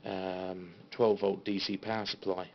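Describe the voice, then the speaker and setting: A British man talks in a low, creaky voice, giving an informal show-and-tell in a halting, understated way. The sound is a dull phone recording with faint noise behind him. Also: flat delivery. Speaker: CB radio hobbyist giving a tour of equipment. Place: small radio room at home. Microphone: handheld phone.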